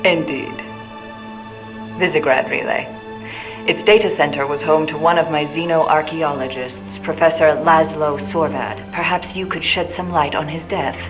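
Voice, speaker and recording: Haughty older female scientist, low voice, icy and formal, clipped delivery, game cinematic, music behind. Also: fairly even intonation, measured pace. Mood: cold, imperious. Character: imperious, cold female military scientist, older. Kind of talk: video game cinematic acting.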